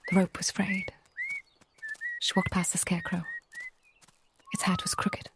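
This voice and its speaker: A woman narrating a scripted bedtime story in a soft, breathy, near-whispered voice, gentle and unhurried, with long pauses. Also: mid-range voice, wildly swooping pitch.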